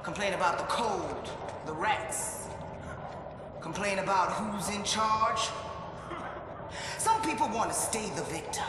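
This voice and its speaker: A man's voice: high-pitched, animated, slow pace, pauses often, very noisy. An actor playing a menacing, vengeful gang leader who rouses his followers with a taunting, preacher-like speech in a game. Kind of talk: dramatic rabble-rousing speech to a crowd. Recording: very echoey hall, loud music and crowd.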